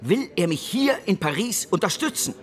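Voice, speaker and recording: A man's voice: high voice, big pitch swings, moderate pace, faint music behind. An actor playing a proud, combative male financier, curt and demanding, in a radio drama. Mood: impatient, scornful.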